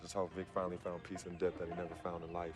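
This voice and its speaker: A man's voice: low-pitched, monotone, very fast, over music, lightly acted. An actor playing a laid-back, sincere young American man, a loyal brother, talking in earnest slang in game dialogue. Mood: wistful. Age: young adult. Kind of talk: naturalistic game cutscene dialogue.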